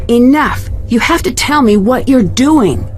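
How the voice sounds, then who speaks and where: A woman's voice: a little high, lively intonation, measured pace, soft background music. An actress voicing an inquisitive, composed young female detective in a video game, asking direct, probing questions.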